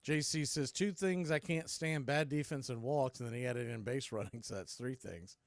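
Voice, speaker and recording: An American man doing live baseball play-by-play in clipped, punchy calls with pauses, mixed with casual fan chat. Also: mid-range voice, dry recording, studio quality.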